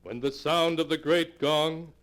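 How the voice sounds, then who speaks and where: A man's voice: on the high side, unhurried, a bit bright. A man announcing a radio mystery program in formal 1940s style. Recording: faintly noisy 1940s radio recording.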